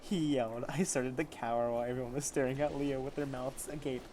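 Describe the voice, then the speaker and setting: A man's voice: mid-range voice, steady tone, fairly quick, mellow, a little hiss or hum. A man casually reading fan fiction aloud as an amateur story reader, stumbling over the text and correcting himself.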